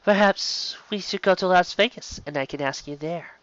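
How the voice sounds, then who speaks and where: A man plays a mysterious woman questioner in an audiobook. She is hushed, unhurried and teasing, insistent beneath the softness, on a dry, slightly dull recording. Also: sits high, clean tone, no echo, pitched above the performer's own voice. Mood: softly teasing. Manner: hushed, slow, probing.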